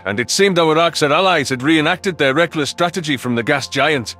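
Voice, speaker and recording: A man's voice: a little high, a bit bright. A man reading scripted, dramatic first-person science fiction narration as an audiobook narrator. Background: background music.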